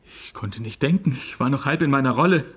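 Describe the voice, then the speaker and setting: An actor playing a shaken, self-absorbed film star in a radio play, with halting, theatrical phrasing. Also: male voice, mid-range voice, a bit bright, husky. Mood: grieving, haunted.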